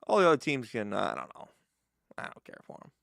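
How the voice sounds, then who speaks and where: A young man riffs goofily about sports, playfully naive and offhand. His bright voice has a gravelly edge and swings widely in pitch. The recording is dry and close. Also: wildly swooping pitch, fast, dry recording. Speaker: podcast co-host. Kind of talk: casual sports chat.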